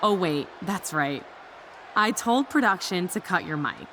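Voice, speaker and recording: A woman's voice: fairly low pitch, expressive, a little slow, fairly bright tone, slight background noise. A woman playing a smug, power-hungry wrestling general manager in a video game, taunting her rival theatrically. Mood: gloating.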